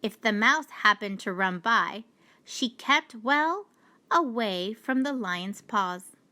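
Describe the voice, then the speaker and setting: A woman reading a children's storybook aloud with deliberate, expressive storytelling. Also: on the high side. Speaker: storybook reader. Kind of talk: children's read-aloud narration.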